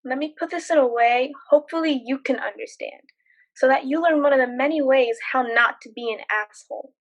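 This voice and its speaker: A woman's voice: high-pitched, a little fast, muffled recording. A young woman playing an outspoken young paralegal in a student stage drama, with a sarcastic, firm way of talking. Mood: indignant, scolding. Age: young adult. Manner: sarcastic, firmly lecturing.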